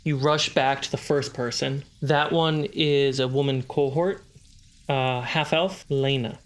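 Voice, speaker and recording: A man's voice: medium pitch, fairly even intonation, measured pace. A man running a tabletop roleplaying game as game master, narrating scenes and answering players. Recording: music and rain sound effects behind. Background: atmospheric music, rain.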